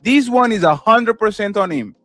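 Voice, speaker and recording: A man with a Portuguese accent giving his opinions on a podcast, animated, swinging between loud and soft and acting out quotes. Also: high voice, normal speed, no echo, clean studio sound, faint music behind. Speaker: podcast co-host. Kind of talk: casual opinion talk.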